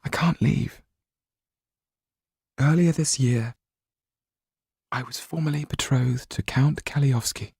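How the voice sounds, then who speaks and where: A man voicing a shy, anxious, wistful young gentlewoman in an audiobook, with a soft, breathy, hesitant and refined delivery. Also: mid-range voice, slow pace, long pauses, no echo, studio quality, pitched above the performer's own voice, heavily acted. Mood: sad, anxious. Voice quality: soft, breathy, at times whispered.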